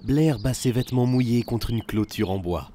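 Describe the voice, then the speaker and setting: A man's voice: mid-range voice, normal speed, background noise. A man reading scripted third-person narration for a film.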